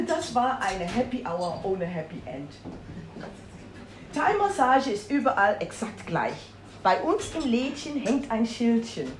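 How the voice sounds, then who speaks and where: A Dutch-accented woman reads a comic story aloud in a high, lively voice that swoops up and down. She is playful and self-mocking and bursts into exclamations. The room sounds roomy, with a faint hum of ambience. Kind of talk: reading a humorous story aloud.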